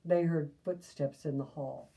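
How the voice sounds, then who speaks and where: A woman reading a children's picture book aloud, adding gentle asides to young listeners. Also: sits low, steady tone, unhurried, vocal fry, distant mic. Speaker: storybook reader.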